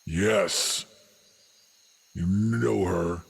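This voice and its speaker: Man voicing a husky, slow centaur huntress. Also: fairly even intonation, full character voice. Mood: stern, questioning. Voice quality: husky low voice. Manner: slow, measured, formal. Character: massive grave female centaur hunter, relentless tracker.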